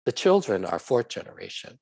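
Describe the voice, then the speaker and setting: A man's voice: medium pitch, big pitch swings, brisk, a little mellow, no echo. A middle-aged man from Hawaii, a podcast guest, explaining his views at length in an earnest, conversational way. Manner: earnest, explanatory, speaks in long flowing runs. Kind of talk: conversational interview.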